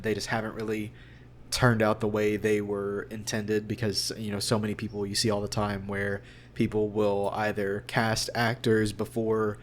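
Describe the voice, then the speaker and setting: A man with a lowish voice and quite flat intonation talks casually, as a voice actor being interviewed. He is earnest and wanders through his thoughts in a discursive way. There is a faint noisy hum behind him.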